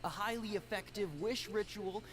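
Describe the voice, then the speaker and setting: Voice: mid-range voice, normal speed, lightly acted. An actor playing a brash, cocksure townsperson in a video game, talking in a casual, persuasive salesman's manner. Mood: persuasive, conspiratorial. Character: brash, cocksure townsperson hyping get-rich wishes. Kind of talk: animated video game voice acting.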